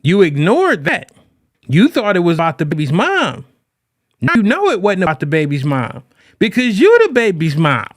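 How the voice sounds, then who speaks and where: A man hosting a podcast, talking casually as a relationship coach, animated and often restarting his phrases. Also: high voice, moderate pace, no echo, studio quality. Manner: animated, quotes people in raised voices. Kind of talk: casual monologue.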